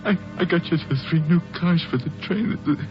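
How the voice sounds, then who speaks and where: A man's voice: on the high side, music in the background, lightly acted. An actor playing a middle-aged father breaking down over his dead son, stammering and sobbing in 1940s radio acting style. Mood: grieving. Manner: stammering, pleading, halting. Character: grief-stricken father whose young son is dead. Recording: dull old radio broadcast.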